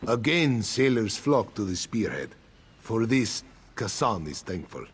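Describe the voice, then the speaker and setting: An actor playing a formal, husky-voiced cat-folk sailing master in a video game. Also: male voice, lowish voice, big pitch swings, unhurried, heavily acted. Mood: grateful, formal. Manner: speaks of himself in third person.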